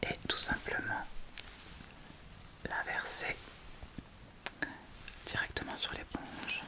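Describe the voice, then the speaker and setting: A woman whispering breathily and gently in a casual ASMR tutorial style, explaining step by step without hurry, close to the microphone. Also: slow. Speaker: ASMR video creator.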